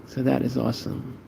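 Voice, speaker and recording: A man's voice: mid-range voice, creaky, darkish tone, slight background noise. A man from Pakistan, chatting casually with viewers while he draws on a livestream, answering their comments in a laid-back way. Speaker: art livestreamer.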